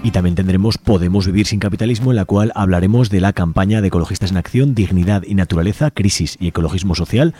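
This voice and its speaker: Male radio host, very low and warm voice, easygoing delivery, faint music bed. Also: quick pace, few pauses. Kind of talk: community radio program presenting.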